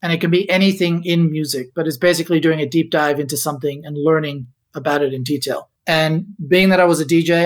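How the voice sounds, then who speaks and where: A middle-aged man, born in the Netherlands and raised in Spain, telling his background as a guest on a podcast in a relaxed, reflective way. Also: fairly high pitch. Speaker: guest, musicologist. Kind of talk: podcast interview.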